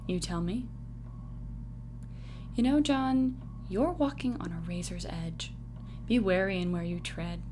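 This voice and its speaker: A woman acts a cryptic, rueful female mentor in an audio drama. The voice sits at a middle pitch and sounds measured and cautionary, with clear background noise and hum. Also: lightly acted.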